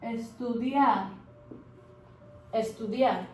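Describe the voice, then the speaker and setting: A woman's voice: fairly high pitch, extremely slow, slight background noise. A young woman from Mexico, giving a casual spoken language lesson in a chatty, encouraging way with fillers and asides. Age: 20s. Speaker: language teacher. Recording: roomy echo with faint music.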